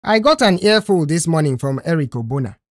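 A woman's voice: low voice, lively intonation, fairly quick, no echo, clean studio sound. A woman voicing a stern, exasperated male boss who scolds with sarcastic questions in an audio story.

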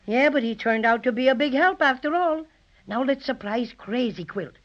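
An actor playing a small, cheerful teddy bear who takes charge briskly, in a 1930s children's radio play. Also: male voice, very high-pitched. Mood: confident, scheming. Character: small plucky male teddy bear, bossy, cheerful leader. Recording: muffled old 1930s radio recording.

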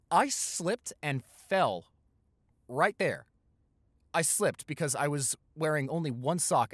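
A man's voice: a little high, fairly bright tone, dry recording, studio quality, pitched above the performer's own voice. A young American man playing a playful, caring college-age boyfriend in improvised roleplay audio, teasing and reassuring with hesitant stammers. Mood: mock defensive. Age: young adult.

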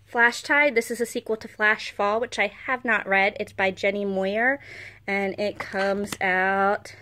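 A woman's voice: medium pitch, fairly even intonation, a little slow, pushed and bright, slight background noise. A woman hosting a book vlog, giving casual unboxing commentary in an informal, chatty way. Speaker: book blogger and video host. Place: carpeted room at home.

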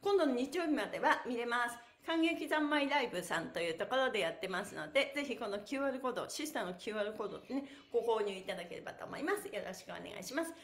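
A woman's voice: a little high, lively intonation, rapid-fire, hardly any pauses, a bit bright. A middle-aged woman, an effusive, playful and encouraging stretch instructor chatting to her livestream viewers. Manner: effusive, encouraging, playful, frequent laughing exclamations. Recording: echoey bare room.

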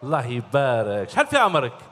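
Lively algerian man hosting a kids' show. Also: medium pitch, fast talker. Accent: Algerian Arabic. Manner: cheerful, animated, quizzing children. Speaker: children's show host. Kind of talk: live stage show talking with kids. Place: large concert hall stage. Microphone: handheld mic. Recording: long hall reverb.

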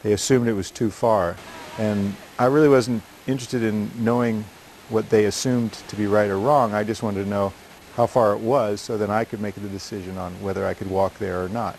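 A man speaking calmly and thoughtfully in a voice-over for a promo film. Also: fairly low pitch, normal speed, a little hiss or hum, rough recording. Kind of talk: reflective voice-over.

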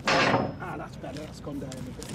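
A man's voice: fairly high pitch, fairly even intonation, moderate pace. A man on a work crew chatting casually as he works outdoors. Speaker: crew worker. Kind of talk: casual chat while working. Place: outdoors in a rail yard. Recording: noisy outdoor yard.

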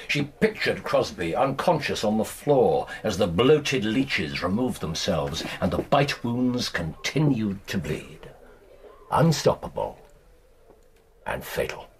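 An actor playing an incisive, commanding British detective in a radio drama, with precise, theatrical delivery and dramatic pauses. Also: male voice, fairly low pitch, sing-song. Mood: grave. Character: incisive, commanding male detective laying out a case. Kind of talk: BBC radio drama acting.

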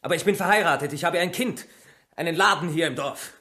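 An actor playing a bitter, defensive village shopkeeper under suspicion in a radio crime drama, curt and indignant. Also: male voice, on the high side, fast talker, bright, forceful tone.

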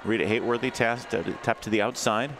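A man's voice: mid-range voice, steady tone, a little fast, a loud crowd. A man calling play-by-play for a live roller derby game, narrating the action. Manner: play-by-play, calls action, fillers like uh. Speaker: sports commentator.

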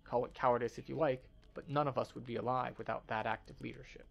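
A man voicing an earnest scholar from a fantasy game expedition, speaking in a formal, measured way. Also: mid-range voice, steady tone, vocal fry, faint music behind. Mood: grave, pleading.

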